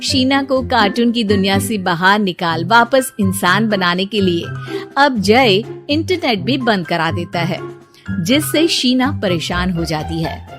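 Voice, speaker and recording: A woman's voice: medium pitch, animated, measured pace, music in the background. A woman narrating a scripted family cartoon story as its storyteller.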